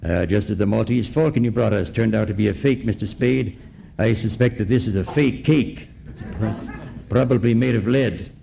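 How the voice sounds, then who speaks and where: An actor playing a pompous, courteous, scheming villain in a radio noir parody, formal in speech. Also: male voice, lowish voice, moderate pace, gravelly, mellow. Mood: suspicious, courtly. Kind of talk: comic old-time radio parody acting. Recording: faint noise on live recording.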